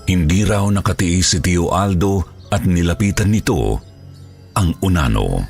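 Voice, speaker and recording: Casting: male horror narrator, extremely low gravelly voice, scripted storytelling. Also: animated, a little slow, a little mellow, soft background music.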